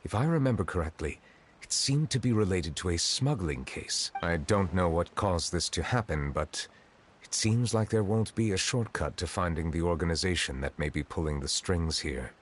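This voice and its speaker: An actor playing a grave, dignified chief justice in a video game, speaking in a formal, measured, reserved way. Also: male voice, deep voice, expressive, a little hiss or hum.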